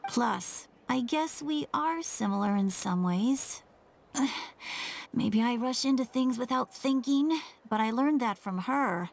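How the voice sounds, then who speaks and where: A stubborn younger sister from a video game, sulky and needling. Her high voice drags slowly, with a creaky fry at the edges. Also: lively intonation, a little hiss or hum, heavily acted. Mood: grudging, teasing.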